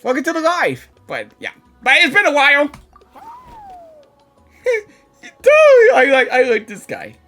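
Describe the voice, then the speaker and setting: A woman livestreamer giving casual, excitable, gushing reactions to a game in slangy speech. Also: sits high, moderate pace. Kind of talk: casual live reaction commentary. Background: game audio and music.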